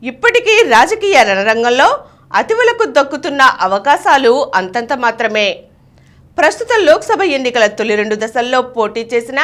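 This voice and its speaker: A woman's voice: high-pitched, fairly bright tone. A woman reading scripted news as a bulletin presenter. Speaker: news reader.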